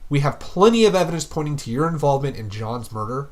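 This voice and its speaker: Man acting male police detective, relentless, accusing, short-tempered, slightly high-pitched, pointed questioning, audio drama. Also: animated, quick pace, natural voice. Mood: stern, methodical. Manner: pointed, pressing, repeats the suspect's name. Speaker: detective interrogator.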